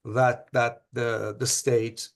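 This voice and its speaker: A male interviewer with a gravelly, creaky voice at a middle pitch. He puts questions carefully, hedging and qualifying as he goes. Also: level tone, slow pace. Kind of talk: academic interview.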